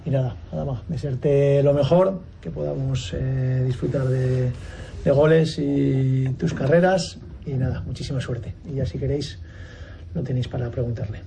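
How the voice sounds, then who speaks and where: Gravelly man opening a press conference. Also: medium pitch, steady tone, normal speed, noisy background. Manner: hesitant, many fillers. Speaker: football director at a press conference.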